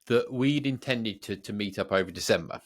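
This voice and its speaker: A man co-hosting a podcast, chatting casually in a jokey, teasing, animated way. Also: on the low side, brisk, no echo. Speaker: podcast co-host. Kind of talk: casual banter between co-hosts.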